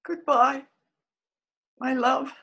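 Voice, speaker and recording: An older actress playing a loving, torn married woman in a period radio drama, heartfelt and halting. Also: sits high, flat delivery, bright, forceful tone, exaggerated voice. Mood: grieving. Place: room at home, video call.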